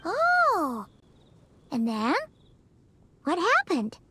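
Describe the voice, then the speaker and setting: A woman voicing a tiny, eager, childlike fairy companion in a video game, squeaky and full of exclamation. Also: huge pitch swings, very slow, sharp tone, a little hiss or hum, full character voice. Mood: excited. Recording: background music.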